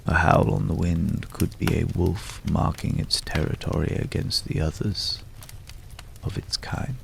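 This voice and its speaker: A man acting a mysterious, courteous stranger in an ASMR roleplay, speaking in a hushed, measured way with lingering pauses and a deep, gravelly voice. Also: a little hiss or hum, full character voice. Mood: ominous, measured. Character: mysterious, courteous male stranger visiting a hunter's night camp. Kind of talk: ASMR roleplay, slow dramatic monologue.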